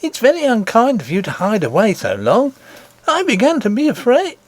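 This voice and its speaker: A man voicing a sceptical, scolding woman nursemaid in a storybook, brisk, dismissive and sarcastic. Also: very high-pitched, wildly swooping pitch, no echo, voice pushed up, full character voice. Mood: anxious scolding.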